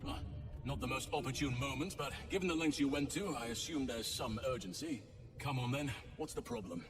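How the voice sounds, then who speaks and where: A man's voice: mid-range voice, lightly acted. Man acting a grave druid leader in echoing hall. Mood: wry, businesslike. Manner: measured, formal, solemn. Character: grave male druid leader, protective, resolute shapeshifter. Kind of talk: video game dialogue. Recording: echoey hall, distant, under loud music.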